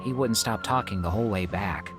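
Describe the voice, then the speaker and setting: A man voices a level-headed game character with a fairly low pitch. He sounds dry and blunt, and music plays behind him. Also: fairly quick, lightly acted. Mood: teasing. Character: blunt, level-headed male theater colleague. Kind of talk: video game voice acting.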